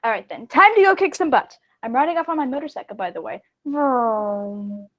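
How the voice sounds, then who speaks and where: A woman's voice: fairly high pitch, expressive, normal speed, close to an everyday voice. A woman playing a brash, vain, boastful small-town mayor in a comic radio play. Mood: smug swagger.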